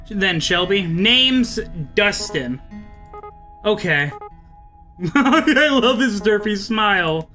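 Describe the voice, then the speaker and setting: A man with a high-pitched voice hosts a visual novel playthrough over faint music. He reads the narration aloud and breaks off for chatty, amused asides in a bright tone. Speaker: let's play host.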